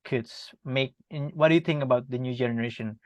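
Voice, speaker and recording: A young man with an Indonesian accent, a student conducting a semi-formal interview in a halting, polite manner. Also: mid-range voice, no room sound. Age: young adult.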